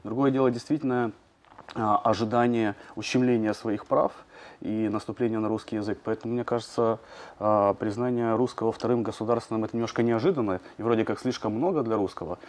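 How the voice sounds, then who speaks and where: A man, a journalist, answering in a studio interview, talking quickly with many hesitations and fillers. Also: on the low side, darkish tone. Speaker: journalist guest.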